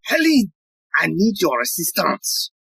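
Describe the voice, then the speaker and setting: A woman playing a bumbling, earnest, oblivious police inspector in a farcical audio play, with a husky, theatrical delivery. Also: fairly low pitch, sing-song, measured pace, a bit bright, no room sound, heavily acted. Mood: flustered. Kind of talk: farcical audio drama acting.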